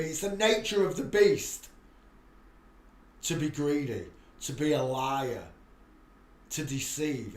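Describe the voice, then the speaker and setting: A man hosting a live stream, talking in a preachy, rambling monologue with frequent restarts and sudden loud bursts. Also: mid-range voice, reverberant, a little hiss or hum. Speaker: livestream host.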